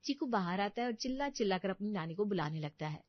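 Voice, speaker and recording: A woman's voice: medium pitch, lively intonation, quick pace, no echo, dull audio. A woman narrating a scripted story as its storyteller.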